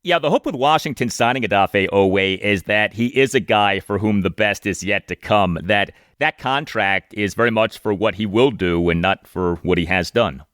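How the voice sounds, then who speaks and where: Lowish-voiced male podcast host, dry sound. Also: normal speed, few pauses, fairly bright tone, smooth, no room sound. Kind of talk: conversational hosting and host-read ads.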